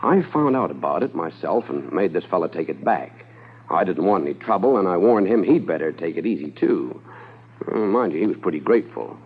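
An actor playing a chatty, trusting gold dealer with a folksy manner in a 1950s radio drama. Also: male voice, low-pitched, animated, brisk, breathy edge, heavily acted. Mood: chatty, amused. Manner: folksy, talkative, frequent chuckles. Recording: dull, muffled old radio recording.

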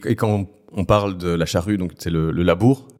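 A man hosting a podcast, introducing his guest and asking questions in a measured way. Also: deep voice, darkish tone. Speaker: podcast host. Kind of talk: interview hosting.

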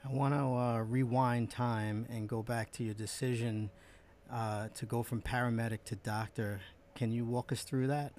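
Male podcast host, measured delivery, faint noise in the background. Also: mid-range voice, fairly even intonation, a little mellow. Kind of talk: interview questions.

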